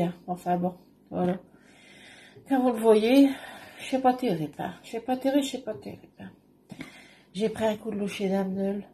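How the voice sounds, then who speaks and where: A woman with a broad Ch'ti accent, voicing a brash, gossipy northern French housewife with a rough, loud patois delivery, recorded on a webcam in a small room. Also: medium pitch, close to an everyday voice.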